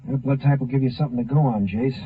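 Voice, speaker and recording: A man's voice: mid-range voice, steady tone, fast talker, breathy edge. An actor playing a deferential, businesslike local lawman in a 1950s radio drama. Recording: tinny old 1950s radio recording.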